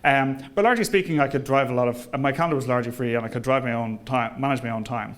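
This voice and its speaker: A man giving an informal presentation as an engineering manager, conversational and hesitant, with frequent ums and pauses. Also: medium pitch. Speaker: engineering manager speaking at a company event.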